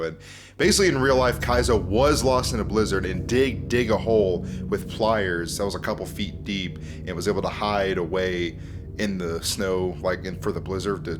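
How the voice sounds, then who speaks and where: A man hosting a video essay, explaining things in casual, conversational commentary. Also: lowish voice. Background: music.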